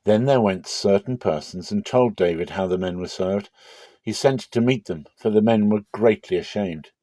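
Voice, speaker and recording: A man giving a dramatic, expressive reading of scripture in a measured, emphatic way. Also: on the low side, dry recording. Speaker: Bible reader.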